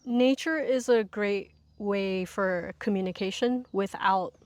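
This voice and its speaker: A woman with a medium-pitched voice speaks as a guest instructor in an interview. She explains things conversationally, working through her thoughts aloud in long, linked sentences. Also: slow pace, darkish tone, no echo.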